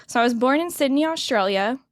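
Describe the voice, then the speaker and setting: Woman host, somewhat high voice, chatty and upbeat, no accent, dry close recording. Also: lively intonation, no room sound. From United States. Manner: chatty, upbeat, quick to react. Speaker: podcast host. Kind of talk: casual interview chat.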